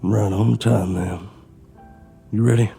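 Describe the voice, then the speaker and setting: A tense male thief mutters tersely in a low, gravelly voice, with music clearly behind him. He sounds guarded and determined, a desperate man in an audio drama. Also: fairly even intonation, takes it slowly, mellow, exaggerated voice.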